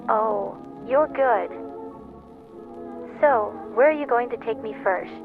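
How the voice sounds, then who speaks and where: A woman's voice: a little high, takes it slowly. A woman acting a flirty heroine on tinny radio. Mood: flirtatious, playful. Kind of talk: old-time radio drama acting. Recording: tinny phone-like radio, music behind.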